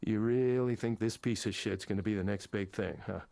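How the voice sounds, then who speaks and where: An actor playing an angry, embittered older tech manager, combative, sarcastic and sweary, in a visual novel game. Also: male voice, lowish voice, steady tone, brisk, gravelly, warm tone, no room sound. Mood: dismissive.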